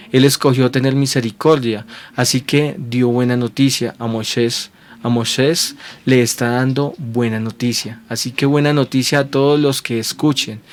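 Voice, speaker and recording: A man preaching a sermon to viewers at home, earnest and repeating phrases as he builds his points. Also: medium pitch. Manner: earnest, checks in with repeated rhetorical questions.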